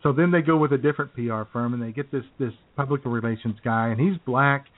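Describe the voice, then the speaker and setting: A man co-hosting a comedy radio show who talks in halting, sarcastic asides full of "you know". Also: medium pitch. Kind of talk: casual comedic radio banter. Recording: tinny phone-like line.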